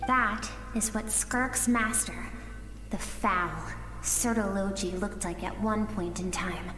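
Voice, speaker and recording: Slow, reverberant, poised storyteller. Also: female voice, medium pitch, a bit bright. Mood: poised, knowing. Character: composed female guide narrating an ominous past. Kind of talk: scripted game dialogue, storytelling. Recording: long echoey reverb with music behind.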